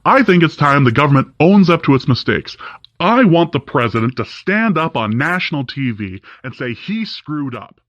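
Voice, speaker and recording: Lively, opinionated talk radio host. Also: male voice, on the high side, normal speed, highs cut off. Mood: indignant. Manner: emphatic, opinionated. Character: indignant male talk radio host, skeptical of government. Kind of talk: talk radio monologue acting.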